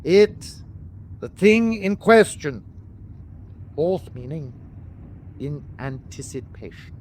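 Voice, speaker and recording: German u-boat captain in a radio drama, biting and torn, highish voice that jumps around a lot. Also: male voice, expressive, darkish tone, a little hiss or hum. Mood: sarcastic, accusing. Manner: biting, deliberate irony. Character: anguished, sarcastic German U-boat captain, commanding yet reluctant.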